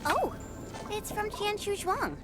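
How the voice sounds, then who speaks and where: An actress voicing a young, earnest and excitable female companion in a video game. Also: very high-pitched, slow pace, loud music behind the voice, heavily acted. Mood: alarmed.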